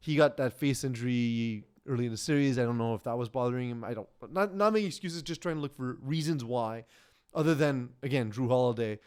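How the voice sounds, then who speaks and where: A man in the middle pitch range hosts a casual sports podcast. He talks in a chatty, rambling way, often restarting his sentences, and laughs easily. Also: fairly quick, a little mellow, clear, resonant tone. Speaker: podcast host.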